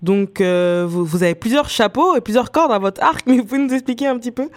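Woman, somewhat high voice, radio interviewer, conversational questions. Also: animated, brisk.

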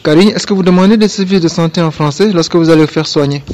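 A male reporter conducting street interviews, asking the same question briskly to each passer-by. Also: on the high side, a little mellow.

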